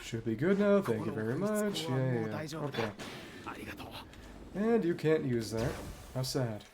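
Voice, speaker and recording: A man giving casual solo commentary as a game streamer, musing aloud in short, halting remarks. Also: medium pitch, very animated, over a noisy crowd.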